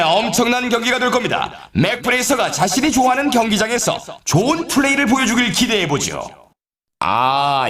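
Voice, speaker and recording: A man's voice: high voice, a bit bright. A man playing an upbeat, showy sports announcer in a video game, with polished, scripted commentary delivery. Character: male snowboard race announcer, upbeat and showy. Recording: echoey, distant-sounding game audio.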